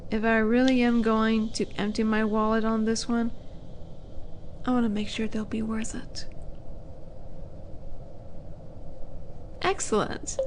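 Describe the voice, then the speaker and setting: A woman playing a flirty, condescending demon in an audio roleplay, teasing with unhurried menace and breathy asides. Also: on the high side, darkish tone, slight background noise, natural voice. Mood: cool, businesslike. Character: flirty, teasing female demon, haughty would-be buyer of captives. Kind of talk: scripted audio roleplay monologue.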